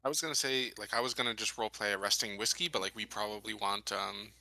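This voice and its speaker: A man's voice: on the low side, steady tone, fast talker, creaky, sharp tone, dry recording, low-quality recording. A man taking part in a tabletop roleplaying game, talking it through casually as a player.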